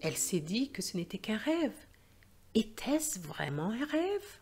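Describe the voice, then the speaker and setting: Female storyteller for a learners' tale: unhurried, careful phrasing, animated pitch. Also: over music. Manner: deliberate, carefully phrased, expressive. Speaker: language teacher and storyteller. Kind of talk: scripted storybook narration for learners.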